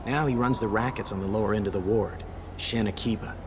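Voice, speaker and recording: An actor playing a nervous young street hustler and informant in a video game, slangy and prone to stammering. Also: male voice, on the low side, steady tone, fairly quick, lightly acted. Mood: wary. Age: young adult. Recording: clear background noise, vehicle ambience.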